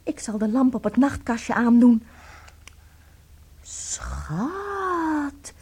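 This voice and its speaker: A woman acting in a radio play as an adoring, excitable wife, cooing and theatrical. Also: a little high, extremely slow, breathy edge, heavily acted. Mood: awed, adoring. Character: adoring, gushing wife, tender and excitable, babying her tiny husband.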